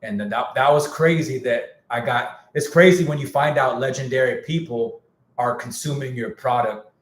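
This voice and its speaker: A man's voice: medium pitch, normal speed. Guy on a livestream losing his voice, slangy, repeats himself, roomy echo. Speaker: music producer live streaming. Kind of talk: casual livestream rant.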